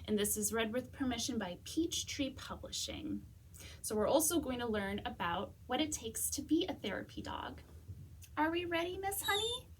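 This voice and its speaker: A woman's voice: sits high, very animated, normal speed, a little hiss or hum. A woman hosting a children's storytime, reading aloud expressively and speaking to young listeners. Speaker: library storytime host.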